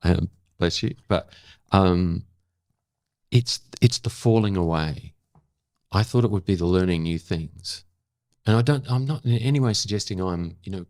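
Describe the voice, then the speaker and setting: Deep, creaky-voiced Australian man of seventy. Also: darkish tone, no echo, studio quality. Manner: thoughtful, halting, emphatic repetition. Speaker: interview guest, former journalist and actor. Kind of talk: reflective conversational interview.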